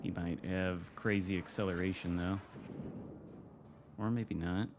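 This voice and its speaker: A man from Michigan with an American accent, commenting casually while streaming a game from home, wry and relaxed. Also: deep voice, measured pace, noisy background. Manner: relaxed, wry, reacting to the game with mild swearing. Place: home room.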